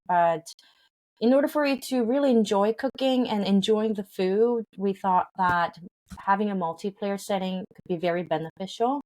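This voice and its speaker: A woman's voice: mid-range voice, normal speed, darkish tone, rough recording. A woman, a company founder, talking in an interview, explaining earnestly with many fillers and restarts. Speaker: founder of a language game company, guest. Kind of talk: interview conversation.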